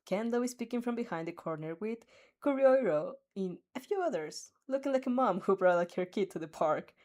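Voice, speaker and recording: A woman's voice: medium pitch, expressive, a little fast, mellow. A woman reading fan fiction aloud as an amateur narrator, giggly, stumbling over words and adding her own asides.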